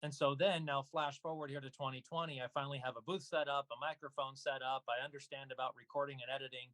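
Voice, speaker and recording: A man's voice: medium pitch, flat delivery, quick pace, fairly bright tone, no echo. A man talking casually as an interview guest, explaining his craft thoughtfully and step by step. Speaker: guest audio dramatist.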